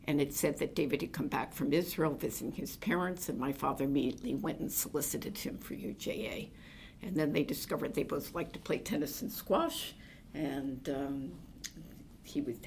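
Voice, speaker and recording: An older woman from New Jersey with a deep, gravelly voice looks back on her family in an interview. She wanders through her memories and often pauses on "um" and "uh" as she recalls details. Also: a little mellow, a little hiss or hum. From New Jersey, USA. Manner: meandering, reflective storytelling with many fillers. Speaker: oral history interviewee. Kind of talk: reminiscing conversation.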